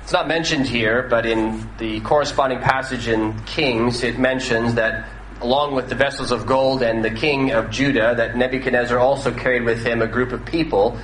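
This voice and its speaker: Bright-voiced American preacher in an echoey hall. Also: male voice, mid-range voice, fairly even intonation, moderate pace, a little hiss or hum. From northwestern United States. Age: 30s. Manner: conversational, with frequent "uh" fillers and restarts. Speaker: pastor. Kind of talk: sermon.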